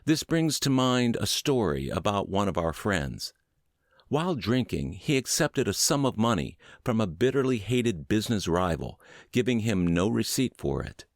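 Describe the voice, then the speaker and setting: A mature man reading a book aloud as scripted narration, in measured, deliberate phrases with frequent pauses. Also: fairly low pitch, big pitch swings, no room sound, clean studio sound. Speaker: podcast narrator, reader.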